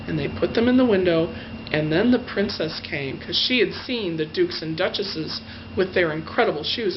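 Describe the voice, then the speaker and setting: A woman storyteller telling a fairy tale in her own words, gushing and stretching out the descriptive words. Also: lowish voice. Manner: gushing emphasis, drawn-out adjectives. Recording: dull lo-fi sound with faint noise.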